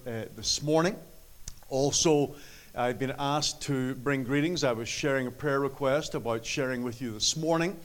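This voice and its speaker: A middle-aged man with an Irish accent, preaching a sermon in an earnest, halting way with many fillers. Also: medium pitch. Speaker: pastor. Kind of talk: sermon with scripture reading.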